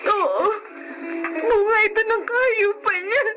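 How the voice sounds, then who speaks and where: A woman's voice: very high-pitched, takes it slowly, loud music behind the voice. A woman playing an anguished young kidnap victim in a Filipino radio drama, tearful and pleading with a Filipino accent. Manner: tearful, melodramatic delivery.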